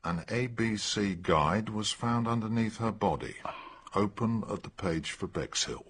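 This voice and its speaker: An actor playing a gruff, matter-of-fact English police detective with a husky British voice, in a radio drama. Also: male voice, lowish voice, lively intonation, measured pace, fairly bright tone, a little hiss or hum. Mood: urgent, matter-of-fact.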